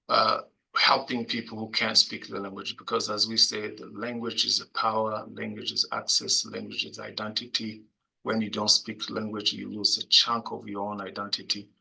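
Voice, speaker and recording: A man from the Congo with a Congolese accent, introducing himself as a panelist in a webinar over a video call, halting, with many fillers and restarts. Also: on the low side, gravelly, bright, forceful tone, husky, low-quality recording. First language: Kinyamulenge.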